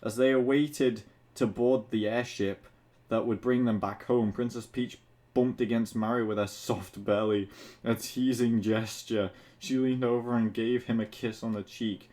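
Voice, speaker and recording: A man's voice: mid-range voice, steady tone, unhurried, warm tone. A man reading fan fiction aloud for comedy, amused and crude, stumbling a little and dropping in asides. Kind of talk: comic read-aloud with asides.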